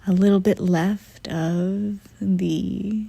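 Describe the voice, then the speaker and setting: A woman narrates a guided meditation very slowly, in a soft and breathy voice that sits close to a whisper. Her delivery is gentle and unhurried, with frequent pauses. The sound is dry and intimate, with hardly any room to it. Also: medium pitch, steady tone, dry recording.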